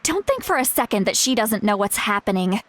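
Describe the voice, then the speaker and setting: A woman's voice: medium pitch, big pitch swings, fairly quick, a bit bright, no echo. A woman playing a stern, exasperated guardian who scolds like an elder in a video game.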